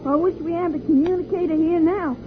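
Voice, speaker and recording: Excitable, very high-voiced young girl. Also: a little fast. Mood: curious, eager. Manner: excitable, gushing. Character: eager, wide-eyed young girl on a space adventure. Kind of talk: 1950s radio serial acting. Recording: tinny, noisy old radio recording.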